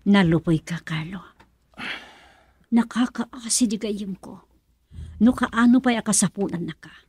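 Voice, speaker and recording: A woman's voice: mid-range voice, a little slow, dark tone, natural voice. A Filipino-accented woman acting an indignant friend in a radio drama, reproachful and emphatic as she scolds.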